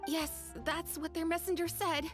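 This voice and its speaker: An actress playing a young, deferential and anxious shop assistant in a video game. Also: female voice, very high-pitched, little pitch movement, normal speed. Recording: music behind the voice.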